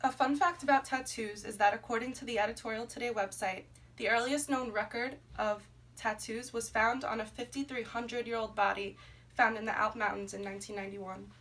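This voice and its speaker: A woman's voice: a little high, steady tone, fairly quick, fairly bright tone. A twenty-year-old woman from Brooklyn, reading a prepared class presentation about herself. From Brooklyn, New York. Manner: reads a prepared script steadily, listing items. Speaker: student presenting a class assignment. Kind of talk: scripted personal presentation. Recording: noisy, somewhat distant recording.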